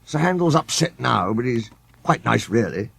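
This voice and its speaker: Man playing a gentle, soft-spoken little steam engine. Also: mid-range voice, big pitch swings, moderate pace, gravelly, breathy edge, no echo, heavily acted. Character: gentle, kindly, apologetic young male steam engine.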